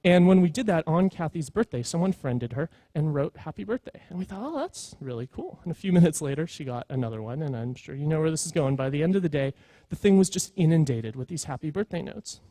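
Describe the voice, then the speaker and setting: A man delivers a conference talk in a conversational, earnest style. His voice sits a little high yet is relaxed and mellow. The sound is close and dry, and he stresses his points informally. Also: quick pace, no echo. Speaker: conference speaker, creative executive. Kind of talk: conference presentation, conversational storytelling.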